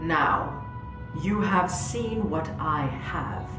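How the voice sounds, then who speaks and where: A woman playing a grave military commander in a sci-fi audio drama, measured, deliberate and authoritative. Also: deep voice, animated, full character voice. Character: grave female military commander sharing secret doubts. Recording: roomy echo under prominent music.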